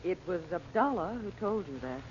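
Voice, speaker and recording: Vintage radio play, practical confidante, woman, brisk, questioning, concerned, lo-fi, lightly noisy. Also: lowish voice, sing-song, a little mellow. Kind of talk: 1940s radio serial acting. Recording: muffled, noisy old radio recording.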